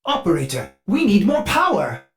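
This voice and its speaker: An earnest, servile artificial intelligence from a video game, with a synthetic, glitchy robot tone. The delivery is theatrical and fretful, formal in phrasing and utterly devoted. Music plays behind the voice. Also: male voice, high voice, huge pitch swings, moderate pace. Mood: urgent. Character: devoted, anxious male ship AI, cheery servant with glitches. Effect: synthetic glitchy robot voice processing. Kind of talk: video game character dialogue.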